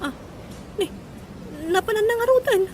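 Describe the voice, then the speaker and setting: A woman's voice: very high-pitched, normal speed, darkish tone, a little hiss or hum. A woman with an Ilocano accent playing a harsh, emotional mother in a radio drama. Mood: worried. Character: harsh, scolding mother of a schoolgirl, later frantic with worry. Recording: music under the voice.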